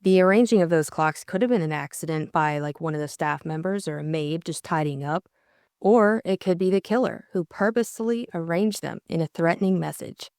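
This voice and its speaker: Lowish-voiced young woman discussing a book. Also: brisk, a little mellow, no room sound, studio quality. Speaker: audiobook co-host and narrator.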